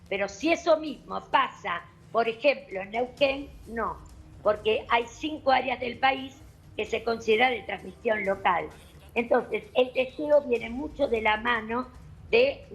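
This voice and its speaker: A woman doctor with an Argentine accent, explaining calmly and methodically on a television panel. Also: fairly high pitch, creaky, low-quality recording. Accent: Argentine Spanish. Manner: measured, explanatory, addresses host formally.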